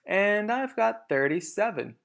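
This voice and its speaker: A man's voice: high-pitched, sing-song, measured pace, gravelly, a bit bright, voice pushed up, exaggerated voice. A determined, grim male farmer from a children's storybook, acted out by a man reading aloud. He talks bluntly, like someone set on his hunt. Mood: commanding.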